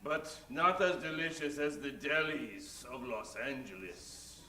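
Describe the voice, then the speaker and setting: An actor playing a dim, obedient henchman in an improvised old-time radio comedy, with a slow, exaggerated delivery. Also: medium pitch, pushed and bright, distant mic. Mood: servile. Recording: roomy stage sound, off-mic, music behind.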